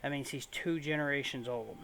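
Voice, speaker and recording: A man of medium pitch commentates casually on a video game. He rambles informally, like a host chatting as he plays. Faint game ambience runs behind his voice. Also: steady tone, brisk, pushed and bright, a little hiss or hum. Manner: rambling, informal, mild swearing. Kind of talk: casual let's play commentary.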